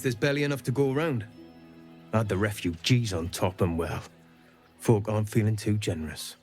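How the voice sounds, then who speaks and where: A man's voice: fairly low pitch, lightly acted. An actor playing a folksy city guardsman with simmering resentment in a fantasy video game. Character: city guardsman, hearty surface, seething bloodthirsty resentment. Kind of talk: video game character acting. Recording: music behind the voice.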